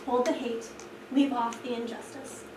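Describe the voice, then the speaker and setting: Higher-voiced woman teaching, echoey room. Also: level tone, moderate pace. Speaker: trainee teacher rehearsing a read-aloud. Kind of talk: reading aloud and questioning a class. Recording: echoey, distant mic, clear background noise.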